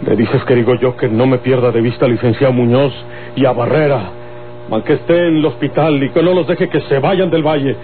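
A man's voice: medium pitch, fairly even intonation, a little fast, a little hiss or hum, close to an everyday voice. Man acting a vengeful older Mexican country boss, tinny recording. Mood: stern, suspicious. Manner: folksy, menacing, emphatic. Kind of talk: Mexican golden-age radio serial acting. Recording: tinny old radio recording, music behind.

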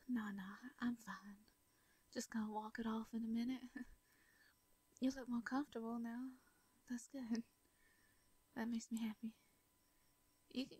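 A woman plays a shy, tender hermit farmer woman with a Southern drawl in a storytelling audio roleplay. She talks softly with little stammers and light laughs, in a slightly high, breathy voice. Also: no room sound. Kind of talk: soft comforting audio roleplay.

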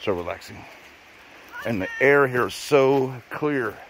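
A man's voice: medium pitch, a little slow, fairly bright tone, slight background noise, rough recording. Joking man vlogging a forest hike. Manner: joking, encouraging, talks to the camera while hiking. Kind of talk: casual vlog chat. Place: outdoors on a forest trail.

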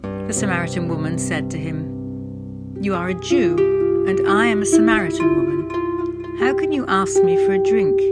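Woman, medium pitch, solemn scripture reading, loud plucked harp music behind. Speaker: clergy reader of scripture. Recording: prominent harp music under the voice.

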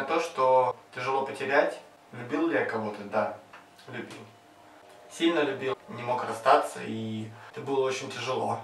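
A man in his twenties answering interview questions, calm and somewhat guarded. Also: medium pitch, echoey room, recorded from a distance, a little hiss or hum, rough recording. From Russia. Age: 20s. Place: indoors against a plain wall.

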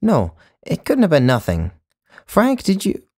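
A man's voice: on the high side, huge pitch swings, unhurried, darkish tone, husky, pitched above the performer's own voice, full character voice. A man voicing an anxious young man who stammers and pleads, out of breath. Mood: urgent.

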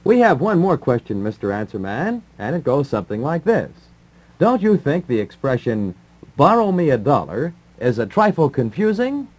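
A man's voice: medium pitch, very animated, moderate pace, a little mellow, low-quality recording. A man co-hosting in 1950s radio style, announcing and bantering with playful mock seriousness.

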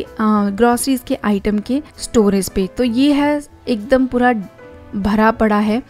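Young woman from India, chatty step-by-step home-tips voice-over, slightly high and mellow. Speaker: home vlogger. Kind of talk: voice-over narration of a cleaning vlog.

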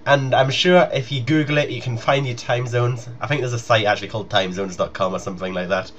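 A man's voice: medium pitch, fairly even intonation, fast. A young man making a casual, rambling announcement to his viewers as a gaming YouTuber. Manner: informal, rambling. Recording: muffled lo-fi home recording with noise.

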